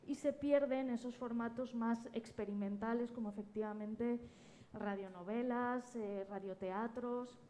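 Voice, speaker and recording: A woman's voice: on the high side, steady tone, measured pace, warm tone, slight background noise. A woman with a Spanish accent from Spain, speaking enthusiastically and conversationally as a panelist at a live round-table event. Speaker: panelist, podcast producer.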